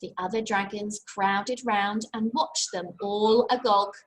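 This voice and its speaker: A woman's voice: mid-range voice, steady tone, slow, slight background noise, poor audio. A woman teacher reading a picture book aloud to young children, expressively, with simple chatty asides and questions. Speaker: infant school teacher.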